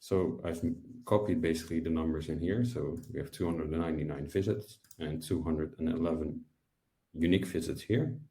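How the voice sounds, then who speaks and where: Young guy doing a webinar tutorial, dark mellow voice, bit echoey room. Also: rumbling low, fairly even intonation, brisk. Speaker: webinar presenter. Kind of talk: explanatory software tutorial. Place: indoor room, video call. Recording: roomy echo.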